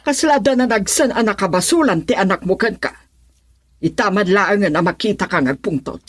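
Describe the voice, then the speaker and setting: A woman's voice: mid-range voice, expressive, normal speed, breathy edge, no echo, clean studio sound. An actress in a radio drama playing an anxious, reproachful mother who frets and remonstrates. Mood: worried.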